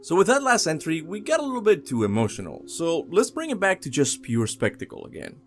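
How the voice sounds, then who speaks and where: A man's voice: a little high, wildly swooping pitch, brisk. A young man narrating a scripted video game countdown as host, with music behind him.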